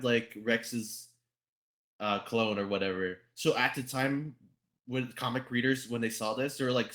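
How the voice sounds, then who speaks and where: A man explaining things in casual conversation, halting and often repeating his phrases as he goes. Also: medium pitch, pushed and bright. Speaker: podcast guest.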